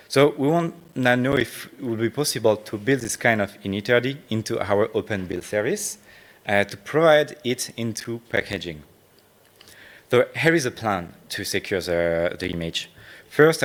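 A man from France with a French accent, giving a technical conference presentation in hesitant, non-native phrasing with frequent pauses. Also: lowish voice, a bit bright. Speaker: conference speaker.

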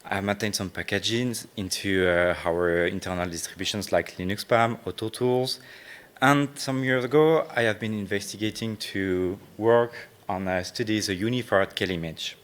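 A man from France with a French accent, giving a technical conference presentation in hesitant, non-native phrasing with frequent pauses. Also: on the low side, a bit bright. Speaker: conference speaker.

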